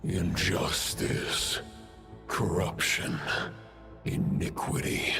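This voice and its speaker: An ancient wolf spirit from a fantasy game, deep and gravelly. It speaks slowly, with wrathful condemnation, over music. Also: male voice, level tone, a bit bright, exaggerated voice. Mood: wrathful, condemning. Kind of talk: scripted video game voice acting.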